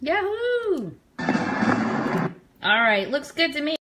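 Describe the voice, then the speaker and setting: A woman's voice: high voice, sing-song, takes it slowly, over loud music. A woman hosting a casual live-stream fan news show, chatting informally and enthusiastically straight to her viewers. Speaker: radio network show host.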